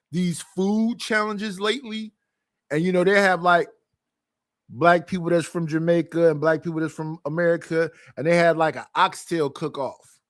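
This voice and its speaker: A man giving heated, casual commentary as a livestream guest, profane and laughing between his points. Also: high-pitched.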